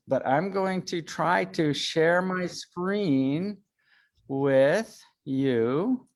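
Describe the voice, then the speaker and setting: Hesitant man lecturing on a video call. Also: medium pitch. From Chicago, United States. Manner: hesitant, many ums, self-deprecating. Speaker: artist giving a lecture.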